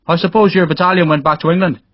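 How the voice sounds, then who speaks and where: A man's voice: fairly high pitch, steady tone, quick pace, dry recording, dull audio, voice pushed up, lightly acted. A man voicing a hearty, blunt middle-aged Irish engineer captain in an audiobook. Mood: brisk, curious. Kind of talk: audiobook character dialogue.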